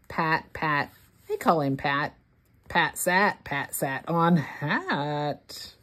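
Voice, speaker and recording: A woman reading a rhyming picture book aloud for young children, word by word, with deliberate expression. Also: low voice. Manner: deliberate, word-by-word, expressive delivery for early readers. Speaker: children's read-along host.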